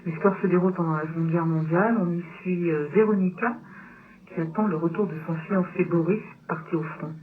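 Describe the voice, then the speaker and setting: A woman's voice: steady tone, a little fast. A woman hosting a conversational radio interview, putting questions to a guest. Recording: tinny low-bitrate shortwave radio, clear noise.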